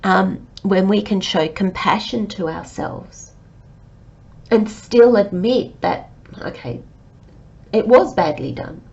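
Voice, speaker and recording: A woman giving a tarot reading to her listeners, talking in a slow, reflective and gently encouraging way while she has a cold. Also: mid-range voice, creaky, highs cut off. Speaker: tarot reader.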